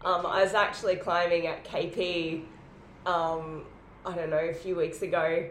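A loud, chatty woman hosting a podcast conversation, laughing often. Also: on the low side, flat delivery, unhurried, slight background noise. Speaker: podcast host. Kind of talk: casual podcast chat. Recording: roomy echoey room.

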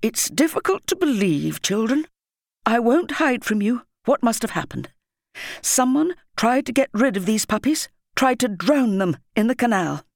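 A woman voicing a warm, indignant school headmistress addressing children emphatically in an audiobook story. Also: on the high side, sing-song, measured pace, gravelly, no room sound, studio quality, pitched above the performer's own voice, exaggerated voice. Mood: trembling anger. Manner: emphatic, exclaiming, addressing a crowd.

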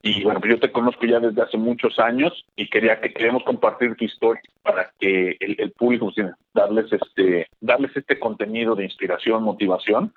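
A male interview host asking questions in a hesitant, halting way. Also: lowish voice, fairly even intonation, slightly rough, no room sound. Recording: dry, tinny phone-like line.

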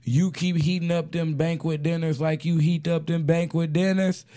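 A man with a raspy voice hosting a casual comedic radio show, joking and laughing often in slangy banter. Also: a little high, little pitch movement, moderate pace, mellow.

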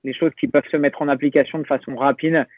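Young man, slightly high voice, reflective and halting, thin phone-like sound. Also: steady tone, no echo. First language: French. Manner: reflective, hesitant with many restarts and fillers. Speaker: podcast guest. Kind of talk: casual conversational interview. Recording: dry, phone-like narrow sound.

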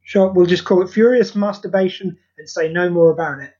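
A man's voice: high voice, brisk, dark tone. A man co-hosting a podcast, teasing with dry, sarcastic humor in casual chat. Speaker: podcast co-host. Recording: dull lo-fi call audio.

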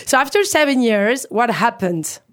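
A woman's voice: a little high, lively intonation, moderate pace. A woman hosting a casual interview show, chatty and teasing, often saying "like" and "I mean". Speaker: talk show host.

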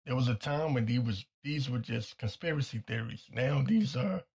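A man hosting a talk show with a hoarse, nearly gone voice, delivering a blunt and insistent commentary monologue that repeats its points. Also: medium pitch, normal speed, a little mellow. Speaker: podcast host.

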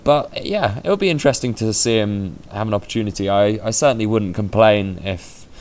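A man's voice: fairly low pitch, fairly quick, darkish tone, slight background noise. A man co-hosting a football podcast, talking casually with a dry sense of humour and thinking aloud. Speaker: podcast co-host.

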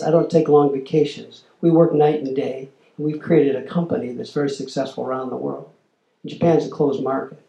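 Older guy lecturing, gravelly mellow voice, echoey room, pitch a bit high for a man. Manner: animated storyteller, acts out quoted exchanges. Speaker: lecturer, former corporate lobbyist. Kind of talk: storytelling lecture. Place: lecture hall.

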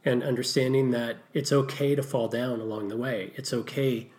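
A man's voice: medium pitch, steady tone, normal speed, darkish tone. A middle-aged man co-hosting a podcast, speaking earnestly and reflectively as a parent. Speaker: podcast co-host. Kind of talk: casual podcast discussion.

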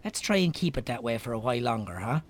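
An actor playing a teasing, wry friend in a naturalistic radio drama, full of banter. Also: male voice, mid-range voice, big pitch swings, fast. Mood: teasing, fond. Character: teasing, wry Irish local businessman and friend.

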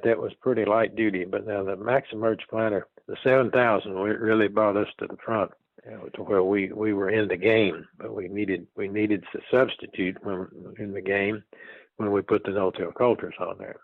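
An elderly man in his eighties talks in a low voice with a dry, close sound. He is folksy and halting, often doubling back on words. The delivery is that of someone reminiscing in a relaxed interview. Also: no echo, narrow, tinny audio. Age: 80s. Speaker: interviewee, retired farm machinery dealer.

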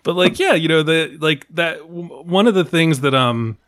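A man's voice: a little high, normal speed, fairly bright tone, no echo. A man co-hosting a film podcast, talking in a chatty, jokey way. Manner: chatty, jokey, mock-quotes his family. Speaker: podcast host. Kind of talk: casual film discussion.

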